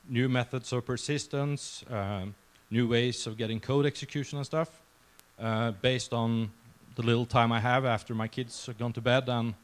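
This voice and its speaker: A man of about forty from Norway, with a Norwegian accent, giving an informal, conversational conference talk to a crowd. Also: mid-range voice. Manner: informal, conversational, self-deprecating. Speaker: conference speaker. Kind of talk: casual conference presentation. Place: conference session room before a crowd.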